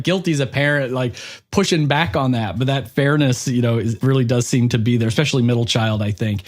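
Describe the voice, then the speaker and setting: A middle-aged man with a fairly high voice hosts an interview. He talks casually and informally, chatting about himself and peppering his sentences with filler phrases. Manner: chatty, self-referential, informal with frequent 'like' and 'you know'. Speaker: podcast interviewer, host.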